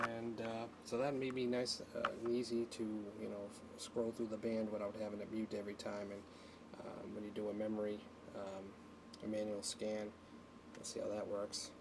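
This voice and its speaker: A man giving an unscripted hands-on tutorial, speaking haltingly as he thinks aloud while working, as a hobbyist would. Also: lowish voice, animated, creaky. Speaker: hobbyist demonstrating an electronics repair. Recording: noticeable background noise.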